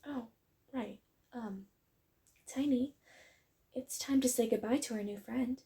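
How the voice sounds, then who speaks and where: A woman playing a friendly, wholesome tomboy dog girl who runs a kennel, talking in a gentle, encouraging, chatty way in a roleplay audio. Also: a little high, takes it slowly. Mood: warm, encouraging. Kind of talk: roleplay audio, acted one-sided conversation.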